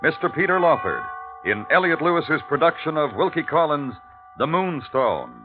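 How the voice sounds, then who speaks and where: A man's voice: fairly high pitch, very animated, moderate pace. A man working as a radio announcer, presenting a drama in a scripted mid-century style. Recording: tinny old radio recording, music behind.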